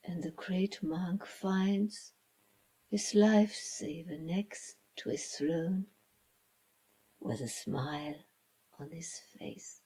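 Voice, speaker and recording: A woman reading a children's story as its author, in a dramatic storybook style with hushed, breathy phrases and deliberate pauses. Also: lowish voice. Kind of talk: slow dramatic storybook narration.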